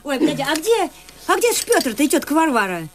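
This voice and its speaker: An actress playing a fretful, plaintive country wife in a radio play, given to sighing interjections. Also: female voice, high voice, big pitch swings, fast talker, slight background noise. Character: moaning, fretful country wife with aching teeth.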